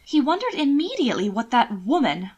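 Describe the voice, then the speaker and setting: A woman narrating an audiobook, reading scripted fiction in an expressive storytelling style. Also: sits high, brisk, husky, muffled recording.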